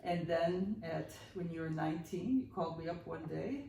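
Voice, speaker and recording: A woman's voice: sits low, normal speed, dark tone, a little hiss or hum. A woman in her sixties co-hosting a podcast, telling stories casually with a playful, teasing manner in a roomy recording made at some distance from the mic. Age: 60s. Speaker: podcast host. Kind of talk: casual conversational storytelling.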